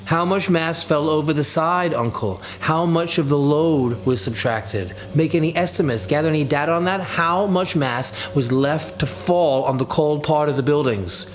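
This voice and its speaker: A man's voice: fairly high pitch, moderate pace, few pauses, voice pushed up, lightly acted. A man voicing a moody eighteen-year-old son who is sarcastic, righteous and insistent, in a dramatic audiobook. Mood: taunting, angry. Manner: mocking, insistent.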